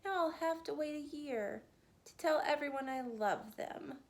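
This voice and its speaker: A woman's voice: sits high, a little slow, a little mellow, pitched above the performer's own voice. A woman voicing a sweet, earnest young girl who worries she has let everyone down, in a storybook read-aloud. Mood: dismayed.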